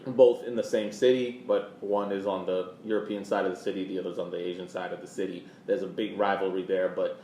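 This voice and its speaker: Male podcast co-host, halting explanatory delivery, casual sports talk, roomy recording with some background noise. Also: deep voice, level tone, mellow.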